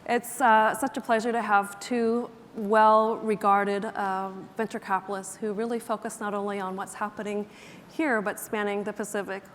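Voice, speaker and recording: Woman moderator, measured and polite, reverberant hall, faint hiss. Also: mid-range voice, little pitch movement. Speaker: moderator host. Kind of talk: panel moderating and introductions. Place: museum auditorium stage. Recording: reverberant auditorium, faint background noise.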